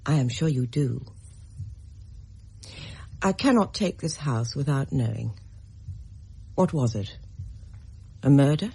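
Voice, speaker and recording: A shrewd woman house-hunter presses her questions coolly and firmly. Her voice sits low and very breathy. Her pitch still swings with lively movement. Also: slow pace, long pauses, heavily acted. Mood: probing. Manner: cool, probing, insistent. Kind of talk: radio drama acting.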